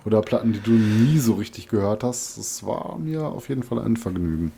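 A man's voice: lowish voice, expressive, fairly quick, gravelly, dark tone, a little hiss or hum. A tired man co-hosting a podcast, chatting in a laid-back way and tagging his phrases with "ne?". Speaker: podcast co-host. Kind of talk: casual chat.

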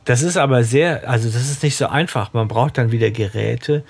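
A man's voice: mid-range voice, normal speed, creaky, slightly rough. A man co-hosting a podcast, chatting casually and thoughtfully, often trailing off into tag questions. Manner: musing, trails off with "ne?" and "irgendwie". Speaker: podcast co-host. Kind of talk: casual chat about a radio drama.

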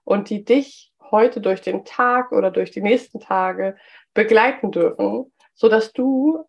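A woman talks through a solo podcast episode, her voice slightly hoarse from a cold. She speaks at an ordinary mid pitch and chats along with plenty of "ähm"s. The recording sounds a bit dull and lo-fi. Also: unhurried. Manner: chatty, with frequent "ähm" fillers. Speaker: podcast host. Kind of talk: solo business podcast talk.

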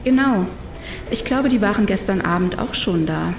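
A woman's voice: medium pitch, animated, moderate pace, creaky. A woman playing a sceptical, wry and hesitant travel companion in a sci-fi audio drama. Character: sceptical, wry woman friend reluctantly joining an adventure. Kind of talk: German science-fiction audio drama. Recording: roomy, distant mic, background noise.

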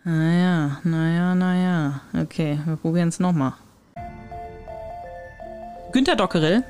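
A woman's voice: lowish voice, measured pace, darkish tone, clear, resonant tone, soft background music. A woman hosting a casual podcast, reading out names as a quiz and giving short, matter-of-fact answers. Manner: matter-of-fact, terse confirmations. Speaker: podcast host, quizmaster. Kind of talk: casual chat, reading out a name list.

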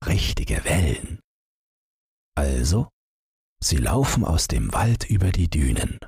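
A man narrating an audiobook story, reading scripted literary prose in measured, deliberate phrases with short pauses. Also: very deep voice, very animated, vocal fry, a little mellow, husky, dry recording, clean studio sound.

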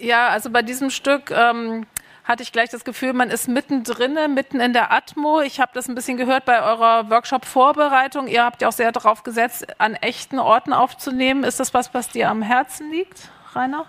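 A woman with a higher voice moderates a panel on stage, introducing pieces and asking questions. She talks in a friendly, reflective way with some fillers. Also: steady tone, fairly quick, fairly bright tone. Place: panel stage at an arts academy.

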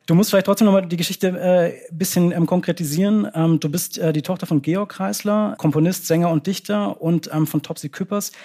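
A man's voice: high voice, steady tone, brisk, few pauses, dark tone. A man moderating a live stage interview, hesitant, with many fillers and restarts. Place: cultural centre stage with audience.

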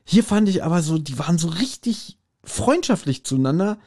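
A man with a mellow, relaxed tone that sits a little high in pitch. He speaks casually, like a podcast co-host sharing his opinion in a review. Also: moderate pace.

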